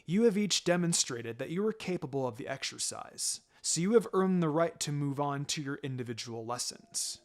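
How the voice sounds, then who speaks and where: A man voicing a calm, firm male ninja teacher in a story, instructing in a measured, matter-of-fact way. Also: fairly high pitch, pitched below the performer's own voice. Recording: music under the voice.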